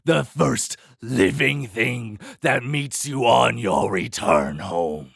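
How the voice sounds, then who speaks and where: A man voicing a hideous, wrathful beast in a storybook, with slow, drawn-out, menacing threats. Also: mid-range voice, lively intonation, vocal fry, fairly bright tone, slightly rough, dry recording, studio quality, heavily acted. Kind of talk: dramatic storybook character voice.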